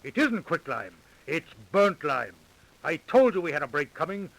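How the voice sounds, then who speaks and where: An actor playing a shrewd, self-assured police detective in a 1930s radio crime drama. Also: male voice, fairly high pitch, big pitch swings, normal speed, vocal fry, fairly bright tone, exaggerated voice, low-quality recording. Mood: triumphant. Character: confident, shrewd, persistent male police detective. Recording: vintage 1930s radio recording.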